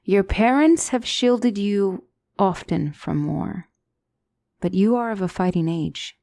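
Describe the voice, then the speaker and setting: A woman playing a gentle demonic mentor in an ASMR fantasy roleplay, speaking softly with formal, measured, reassuring diction and often near a whisper. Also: mid-range voice, creaky, dry recording, clean studio sound. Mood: grave, matter-of-fact. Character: gentle demonic tutor, female mentor to a young royal.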